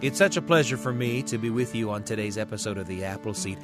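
A man hosting a family storytelling radio show, delivering a warm scripted introduction. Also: on the low side, fast talker, music in the background.

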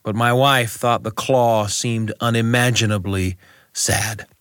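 A middle-aged man telling a personal story as a scripted monologue, reflective and deliberate, speaking in short phrases with pauses. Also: medium pitch, fairly even intonation, fairly bright tone, clean tone, no room sound, clean studio sound.

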